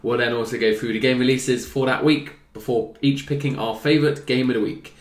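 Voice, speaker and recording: A man's voice: mid-range voice, fairly even intonation, brisk. A hungover man in his twenties hosting a casual gaming news chat, jokey and teasing with his co-hosts. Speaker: host. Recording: roomy echo.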